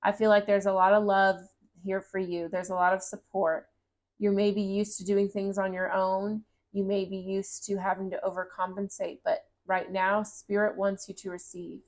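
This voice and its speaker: A woman talks through a tarot reading in a casual, encouraging way, reassuring the listener as she goes. Her voice has a slight raspiness from talking a long time. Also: mid-range voice, little pitch movement, moderate pace. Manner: gentle, encouraging, reads card text aloud. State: voice getting raspy. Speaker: tarot reader.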